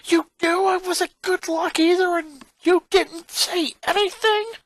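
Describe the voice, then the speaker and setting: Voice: very high-pitched, fairly even intonation, a little slow, a bit bright, no echo, voice pushed up. A performer voicing an exasperated eleven-year-old boy from a cartoon fan story, with an indignant, pleading and emphatic delivery. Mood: furious.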